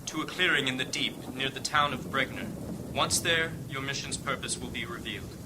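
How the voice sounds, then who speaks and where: An actor playing a solemn high-ranking church leader in a fantasy audio drama, speaking in a grave, formal, commanding way. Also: male voice, steady tone, fairly quick, natural voice. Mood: urgent, commanding. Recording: clear background ambience noise.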